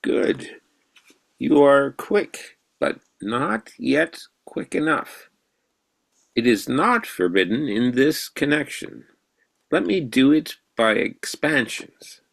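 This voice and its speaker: An actor playing an elderly, intense mathematician who quizzes his pupil in a deliberate professorial way in a radio drama. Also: medium pitch, very animated, creaky, fairly bright tone, heavily acted. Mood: patient, probing. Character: elderly, intense mathematician mentor, excited and probing.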